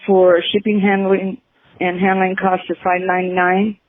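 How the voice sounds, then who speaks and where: Hesitant, flat-voiced woman calling by phone. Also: mid-range voice. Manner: halting, hesitant. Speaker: customer caller. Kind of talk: unscripted phone call. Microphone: telephone. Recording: tinny, narrow phone line.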